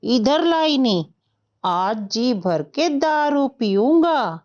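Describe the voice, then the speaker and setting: A woman's voice: high voice, very animated, drawn-out words, a little mellow, dull audio, exaggerated voice. A woman voicing a coarse, blustering, drunken male crow husband in a moral-story cartoon. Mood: angry, bullying.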